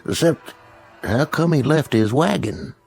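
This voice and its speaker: Slow, husky dim-witted townsman. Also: a little high, animated, a little mellow, soft background music, full character voice. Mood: dim, earnest. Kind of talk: audio drama comic Western acting.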